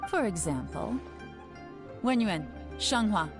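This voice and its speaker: A woman playing a shrewd, self-assured female intelligence chief in a video game, speaking in a measured, instructive way. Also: mid-range voice, lively intonation, music in the background. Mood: cool, instructive. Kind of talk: video game character dialogue.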